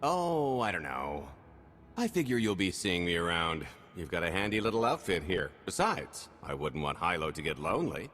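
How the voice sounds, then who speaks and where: An actor playing a roguish, cheeky, pleasure-loving scoundrel in a video game, talking in a glib, breezy way. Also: male voice, low voice, sing-song, normal speed, fairly bright tone, heavily acted. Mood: cheeky, celebratory. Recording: reverberant game hall, faint music.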